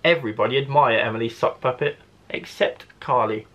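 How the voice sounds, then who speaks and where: A male primary school teacher reads aloud for children. His voice is mid-pitched and a little husky, and he tells the story expressively with careful, deliberate phrasing. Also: a bit bright.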